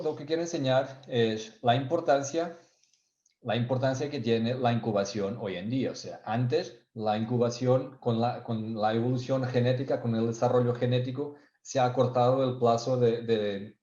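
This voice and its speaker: A man's voice: medium pitch, normal speed, warm tone, reverberant, highs cut off. A man from Portugal speaking Spanish with a Portuguese accent, presenting a technical webinar and often restarting and searching for words. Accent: Portuguese, Spanish learned in Spain and Galicia. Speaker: sales engineer presenting a webinar.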